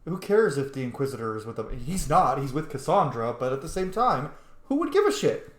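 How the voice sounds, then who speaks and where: A man doing informal roleplay commentary on a game for his viewers. His voice is medium in pitch and blunt in delivery, and it sounds noticeably roomy. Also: big pitch swings, brisk. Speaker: gaming video creator. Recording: roomy echo on the voice.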